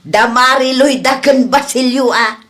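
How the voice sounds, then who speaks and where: High-voiced gossipy mother, acted by a woman. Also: a little slow, fairly bright tone. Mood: indignant gossip. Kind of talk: Ilocano comedy radio drama acting.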